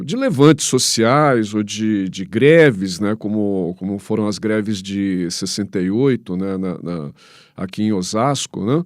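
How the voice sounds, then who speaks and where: A Brazilian man answering as a professor in an interview, explaining haltingly with repeated words and frequent tag questions. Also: lowish voice, no echo, studio quality. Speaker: professor, panel guest.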